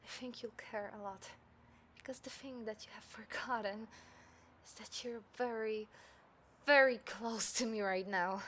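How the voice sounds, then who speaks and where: A woman playing a taunting, smug serial killer in an audio roleplay, speaking deliberately and theatrically with breathy drops toward a whisper. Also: a little high, steady tone, a bit bright. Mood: smug, menacing. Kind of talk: scripted audio roleplay addressed to the listener. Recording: clear background noise, city ambience effects.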